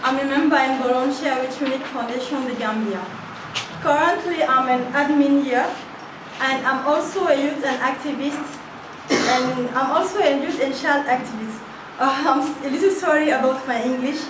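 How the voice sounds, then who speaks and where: A woman's voice: high voice, moderate pace. A young woman whose first language is French, giving a short, hesitant talk as admin officer of a charity, with many fillers and restarts. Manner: hesitant, with fillers and restarts, apologetic about her English. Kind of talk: short prepared talk at a workshop. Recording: echoey hall from far off, noisy.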